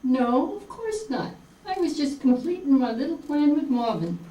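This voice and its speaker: A woman's voice: high voice, animated, moderate pace, mellow. A woman playing a scheming, gold-digging wife in an amateur radio play, coaxing with false sweetness. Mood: scheming, coy. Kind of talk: amateur old-time radio play acting. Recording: echoey, distant, noisy room recording.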